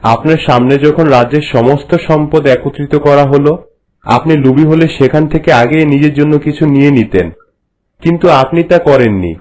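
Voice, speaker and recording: A man's voice: fairly high pitch, fairly quick, darkish tone, natural voice, rough recording. A man playing a reverent royal courtier in a cartoon, explaining things formally and respectfully to his queen. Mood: respectful, solemn. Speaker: storytelling courtier. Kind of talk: scripted cartoon dialogue in formal narration. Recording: music bed under the voice. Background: music.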